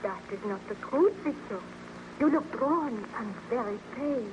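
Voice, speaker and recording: An actress playing a devoted, anxious young sweetheart in an old-time radio drama, earnest and questioning in a melodramatic style. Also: female voice, a little high, expressive, normal speed, natural voice. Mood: concerned. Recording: noisy, muffled old-time radio recording.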